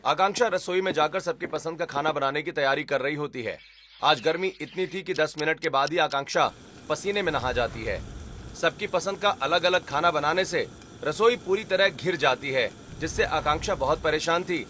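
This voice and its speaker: Bright, forceful male story narrator. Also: on the high side, moderate pace, slight background noise. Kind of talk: scripted story narration in Hindi.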